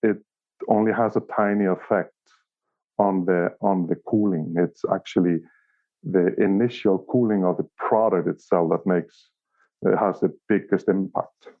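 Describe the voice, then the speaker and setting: A man with a fairly deep voice and an Icelandic accent talks over a narrow, phone-like connection. He gives casual interview answers haltingly, often repeating a word while he finds the next phrase. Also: steady tone. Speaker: interview guest, company operations director. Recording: thin phone-like call audio.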